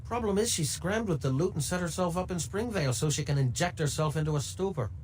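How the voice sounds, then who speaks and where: Man acting a crafty middle-aged broker, highish voice, swinging intonation, wheedling, sardonic, game dialogue. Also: animated, fast talker, a little hiss or hum, lightly acted, rough recording. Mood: sardonic, scheming. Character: sly, mercenary middle-aged male information dealer.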